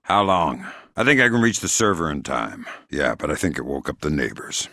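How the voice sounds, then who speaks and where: An actor playing a hardened, sardonic middle-aged covert operative in a video game, gruff and terse, with dry deadpan delivery. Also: male voice, rumbling low, moderate pace, heavily acted. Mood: businesslike, dry. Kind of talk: video game character dialogue.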